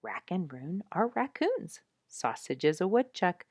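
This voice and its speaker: A woman narrating a nature story from a script in a measured, emphatic storytelling manner. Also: fairly low pitch, sing-song, a little mellow, dry recording, studio quality. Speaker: audiobook narrator reading a nature memoir.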